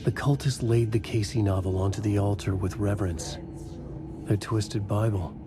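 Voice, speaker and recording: An actor playing a brooding, desperate male crime writer, narrating his own nightmare in deliberate first-person noir style in a game. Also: fairly low pitch, fairly even intonation, background noise, natural voice.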